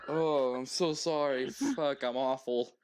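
A man chatting casually with friends while gaming, laughing often and quick to apologize. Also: medium pitch, darkish tone, clean tone. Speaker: podcast co-host.

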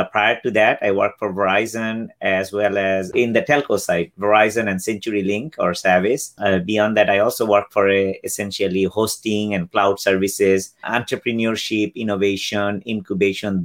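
Bangladeshi-born man, fifties, low voice, explanatory interview guest. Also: little pitch movement, normal speed, hardly any pauses. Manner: explanatory, acts out quoted exchanges. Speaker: guest, cloud solutions architect. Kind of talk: interview answers.

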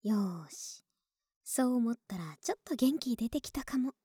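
A woman playing a clingy, sweet young neighbor in an ASMR voice drama, soft and breathy, often whispering, intimate and coaxing, close to the mic. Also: fairly high pitch, expressive, normal speed, no room sound. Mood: coaxing, playful. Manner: intimate, affectionate, hesitant and coaxing. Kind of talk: Japanese ASMR situation voice drama. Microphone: close, dry ASMR microphone.

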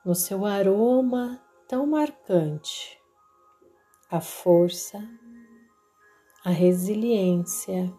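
A woman with a Brazilian accent narrating a guided meditation in a soothing, deliberate way, leaving long pauses. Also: mid-range voice, warm tone. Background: background music.

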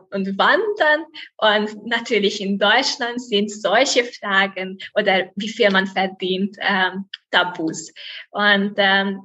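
Slow, bright-voiced young woman, Hungarian accent. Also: medium pitch, lo-fi sound. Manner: hesitant, many fillers, laughs softly. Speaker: guest, student. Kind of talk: interview answers.

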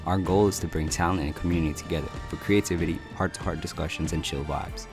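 Host, man, deep and measured, scripted intro, music underneath. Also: steady tone.

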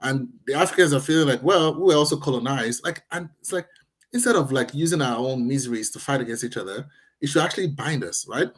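Husky fast-talking Nigerian man. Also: mid-range voice. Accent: Nigerian-inflected, partly Americanized. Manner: emphatic and animated, quick restarts. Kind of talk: casual conversation.

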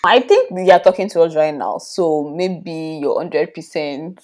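A woman's voice: deep voice, measured pace, darkish tone. A woman chatting casually and using a lot of fillers as she asks questions. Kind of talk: casual chat.

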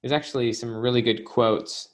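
Man, book author on a podcast, mid-pitched voice, explanatory, casual, tag questions. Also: steady tone, normal speed. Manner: explanatory, uses fillers like "you know" and "right?". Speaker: author guest. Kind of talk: casual conversation.

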